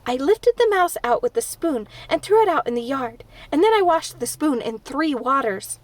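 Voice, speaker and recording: Dramatic chatterbox girl, voiced by a woman reader, high and lively, storybook read-aloud. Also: normal speed, dry recording. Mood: gushing. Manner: breathless, dramatic, gushing. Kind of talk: audiobook character voice.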